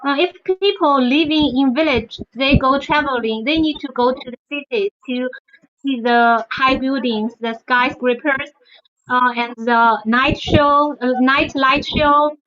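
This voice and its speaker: A woman's voice: high voice, a little slow. A young woman from China, chatting as a guest on a video call, hesitant with many fillers. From China, Zhejiang. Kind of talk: casual video chat. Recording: dull lo-fi call audio.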